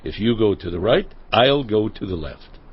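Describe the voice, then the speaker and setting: Man doing old patriarch abram, husky, lively up and down, reasoning with family, muffled sound. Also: fairly low pitch, moderate pace. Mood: conciliatory. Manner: earnest, reasoning, conciliatory. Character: Abram, elder patriarch, peacemaking and generous. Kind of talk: dramatized scripture reading. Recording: dull lo-fi audio.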